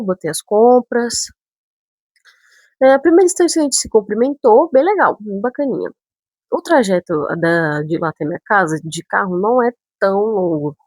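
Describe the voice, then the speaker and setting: Young woman, São Paulo, northern Brazilian accent touches, animated storytelling, husky, dry close recording. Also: fairly high pitch, dry recording. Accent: northern Brazilian touches mixed with São Paulo speech. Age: 20s. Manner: chatty, animated, self-interrupting with asides. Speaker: vlogger. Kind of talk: casual storytelling to camera.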